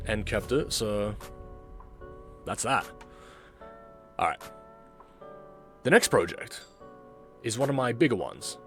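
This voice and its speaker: Slow-talking man with lively, swooping pitch. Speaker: video host, amateur voice actor. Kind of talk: casual reaction commentary.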